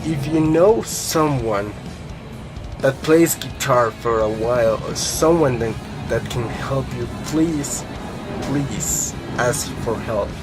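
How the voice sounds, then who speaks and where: A man's voice: mid-range voice, rough recording. A young man from Colombia with a Colombian Spanish accent, chatting casually to camera as a guitar vlogger, rambling with many fillers and casual swearing.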